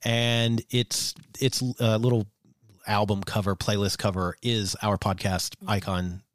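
Podcast co-host, male, lowish voice, loose and chatty, dry close sound. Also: measured pace, no echo. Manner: relaxed, chatty, swears casually, frequent fillers. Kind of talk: casual chat.

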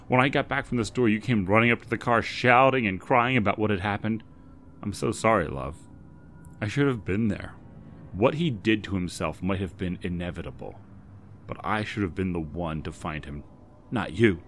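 A man voicing a soft-spoken middle-aged mother who pleads and apologizes gently. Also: on the low side, a little hiss or hum.

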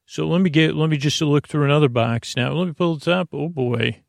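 A man with a creaky voice hosting a sleep podcast, lulling and soothing as he meanders haltingly through a rambling bedtime monologue. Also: a little high, steady tone, no room sound. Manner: meandering, halting, repeats and drifts off topic. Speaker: podcast host.

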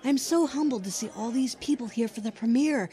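A woman's voice: on the high side, fairly quick, dark tone, lightly acted. An actor playing a gracious, effusive female film star being interviewed at a premiere. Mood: gushing, grateful. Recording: faint crowd at outdoor premiere.

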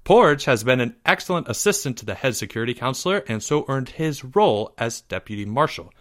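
A man's voice: mid-range voice, very animated, brisk, a bit bright. A man voicing an orderly schoolboy club president in a formal, firm, businesslike manner. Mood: firm, officious.

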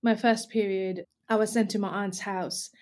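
A woman from Nepal, a company founder, talking as a guest in a relaxed, hesitant way with many restarts. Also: medium pitch, moderate pace. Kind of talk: casual interview chat. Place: industrial area, indoors.

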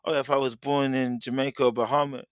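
Measured man explaining. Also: medium pitch, level tone, no room sound, dull audio. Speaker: guest speaker. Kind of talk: interview, explaining at length.

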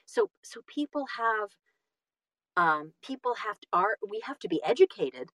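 A woman in casual conversation, recorded dry and close. She speaks at a middle pitch with animated emphasis, starting and restarting phrases as she works out her point. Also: no room sound. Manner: animated, emphatic, halting with repeated words. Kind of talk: casual conversation about the audiobook business.

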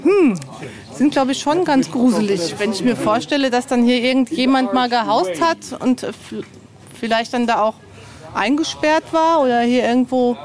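A woman's voice: fairly high pitch, lively intonation, moderate pace, darkish tone. A woman guest chatting informally and easily on location, thinking aloud as she goes. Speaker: author, podcast guest. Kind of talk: casual chat on location. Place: on a castle visit. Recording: location recording with background ambience.

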